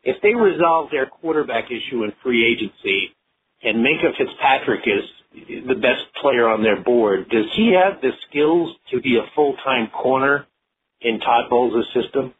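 A male reporter with a husky voice putting a prepared press question on a telephone conference call. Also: medium pitch, lively intonation, normal speed. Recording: muffled phone-line sound.

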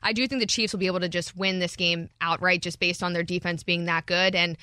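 A woman with a Philadelphia accent, a sports betting analyst chatting as a guest in a radio studio, talking fast and chatty. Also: on the low side, fairly even intonation, bright, forceful tone, clear, resonant tone, no room sound. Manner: fast, chatty, laughing storyteller.

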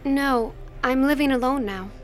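A woman playing a pious, self-possessed high school girl in an audio drama, earnest and composed. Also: unhurried, soft background music. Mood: polite, reserved.

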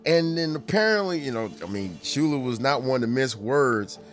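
A man's voice: medium pitch, expressive, normal speed, fairly bright tone. A man hosting a podcast, telling sports stories in a casual, rambling, conversational way with amused asides. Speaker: podcast host.

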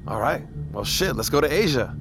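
An actor playing a game, reckless survivor with a casual, gutsy way of speaking in an audio drama. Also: male voice, medium pitch, big pitch swings, a little fast, background noise, close to an everyday voice. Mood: resolved. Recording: music under the voice.

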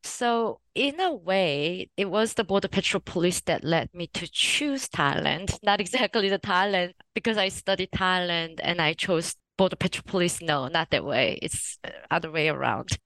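A woman's voice: on the low side, lively intonation, moderate pace, hardly any pauses, fairly bright tone, no echo, low-quality recording. A woman in her forties from Korea, a native Korean speaker, telling her story thoughtfully as a guest author with light laughs. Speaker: guest author and research professor. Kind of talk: podcast interview.

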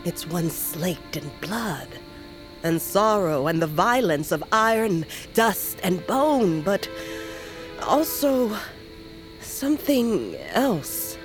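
Slow-spoken, solemn old shaman woman. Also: lowish voice, expressive, low-quality recording. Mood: grave, hesitant. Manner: solemn, measured, cryptic. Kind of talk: fantasy audio drama acting. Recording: music underneath.

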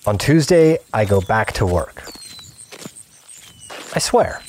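A man's voice: lowish voice, big pitch swings, slow, a little mellow, a little hiss or hum. A man playing an earnest, obsessive gamer in an audio drama, confiding his play session in short, broken-up phrases. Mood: self-justifying. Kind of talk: first-person scripted audio drama narration.

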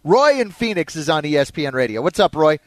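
Male call-in sports radio host, notably high voice, close dry studio sound. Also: sing-song, quick pace, no room sound.

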